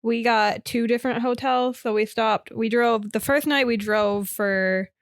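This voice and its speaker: A woman's voice: on the high side, fairly even intonation, a little slow, no room sound, clean studio sound. A young woman from Michigan co-hosting a podcast, chatting casually with lots of fillers. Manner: chatty, recounting with many fillers. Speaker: podcast co-host. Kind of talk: casual chat.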